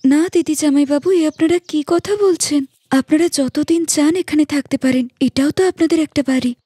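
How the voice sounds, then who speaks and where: A woman's voice: sits high, normal speed, warm tone, no echo, voice pushed up, close to an everyday voice. A woman voicing an eager, deferential young village wife in a cartoon story, polite and earnest. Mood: eager welcoming. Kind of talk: cartoon moral story acting.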